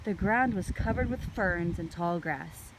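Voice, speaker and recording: A woman reading a children's book aloud in an expressive, deliberate storytelling manner. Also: fairly low pitch, background noise.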